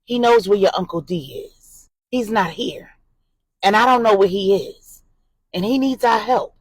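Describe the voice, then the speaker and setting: A woman's voice: mid-range voice, unhurried, husky, no echo, rough recording. A woman storyteller voicing a fierce yet caring aunt with a firm, urgent, emphatic way of talking. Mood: coaxing, urgent.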